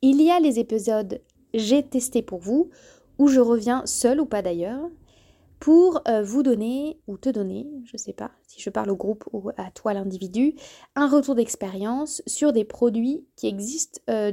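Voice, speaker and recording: A woman's voice: on the high side, normal speed. A woman in her early thirties hosting her podcast, speaking straight to the listener and sometimes correcting herself mid-sentence. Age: 30s. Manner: warm, addresses listener directly, self-correcting. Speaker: podcast host. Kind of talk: solo podcast trailer monologue.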